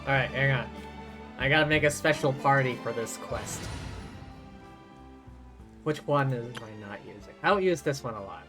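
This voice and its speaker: A man's voice: medium pitch, moderate pace, long pauses. Chatty male game streamer thinking aloud. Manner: chatty, mimics lines mockingly, thinks aloud. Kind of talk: casual live game commentary. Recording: faint game music behind.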